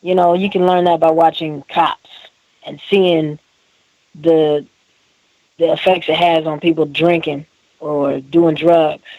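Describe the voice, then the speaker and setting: A man with a fairly high voice talks as an interview guest, informal and earnest. His phrasing is slangy and conversational, and the sound is dry and close, with little room on it. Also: little pitch movement, slow, no room sound, lo-fi sound. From Oklahoma, United States. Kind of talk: casual interview talk.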